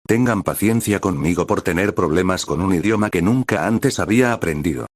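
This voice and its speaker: Deep-voiced man reading a story. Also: lively intonation, fairly quick, no room sound, studio quality. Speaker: narrator. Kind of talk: scripted fan fiction narration.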